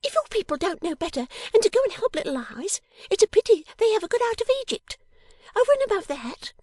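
A woman voicing a sharp, impatient, bossy young dolls' dressmaker in an audiobook, with emphatic, scolding delivery. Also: extremely high pitch, sing-song, fast talker, no room sound, voice pushed up. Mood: irritated, scolding. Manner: emphatic, scolding, theatrical exclamations. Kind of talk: dramatised audiobook character reading.

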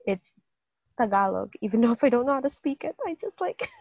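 A young woman from Hong Kong chatting casually with her sibling, animated and full of exclamations. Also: fairly high pitch, brisk, dry recording, muffled recording. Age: late teens. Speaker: co-host, sister. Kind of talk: casual chat.

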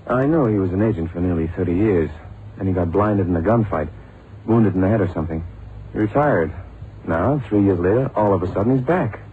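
A man's voice: deep voice, fairly quick, close to an everyday voice. Brash agent on tinny radio, male actor. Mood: skeptical. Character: brash, skeptical young male FBI agent, cocky wisecracker. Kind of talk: 1960s-70s radio drama acting. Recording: tinny, noisy old radio recording.

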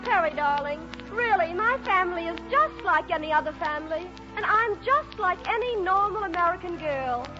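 An indignant, gushing young ingenue in old radio style. Her very high voice swoops widely, on a muffled recording with music. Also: animated, moderate pace, hardly any pauses. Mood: pleading, indignant. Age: young adult. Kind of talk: 1940s radio acting.

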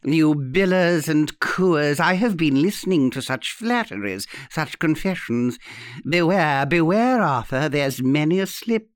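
Man voicing a slow, suave, sly old aunt. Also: fairly high pitch, expressive, dry recording, studio quality. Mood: mock-sentimental, theatrical. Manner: suave, smooth, drawn-out delivery with satirical edge. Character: sly, theatrical, mocking old aunt, falsely sweet. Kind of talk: dramatic audiobook character reading.

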